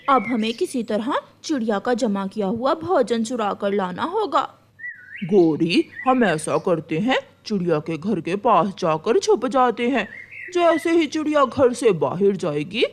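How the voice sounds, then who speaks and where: Lazy, scheming cartoon crow, played by a woman: brusque, conniving, drawn-out, animated pitch, faint noisy background.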